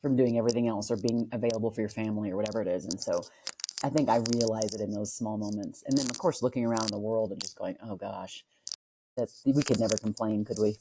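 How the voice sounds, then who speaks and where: A woman with a deep voice, a little under the weather, answering interview questions reflectively and thinking aloud. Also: fairly even intonation, fast talker, background noise. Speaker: guest author.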